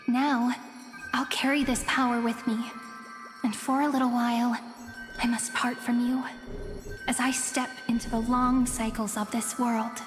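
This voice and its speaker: High, echoing young heroine played by a woman. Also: little pitch movement, unhurried, close to an everyday voice. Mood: tender farewell. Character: playful, poetic, confident young mystical girl. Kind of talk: dramatic video game voice acting. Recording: long reverb, music under the voice.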